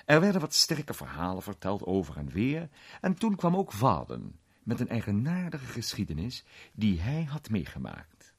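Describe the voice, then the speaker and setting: A man's voice: on the low side, wildly swooping pitch, normal speed, gravelly, slightly rough, exaggerated voice. An actor playing an urbane male club member who narrates a radio play with dry humour. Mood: wry, amused.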